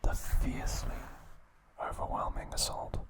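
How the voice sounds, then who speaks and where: A man speaks in a soft, unvoiced whisper throughout as an ASMR host. His delivery stays slow, gentle and even, with only a brief aside now and then.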